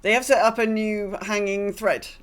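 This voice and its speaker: A woman co-hosts a casual gaming-style podcast in a mid-pitched voice. She is chatty and agreeable, moving between reading out listener comments and reacting to them. She often doubles up phrases for emphasis. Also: fairly even intonation, normal speed. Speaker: podcast co-host.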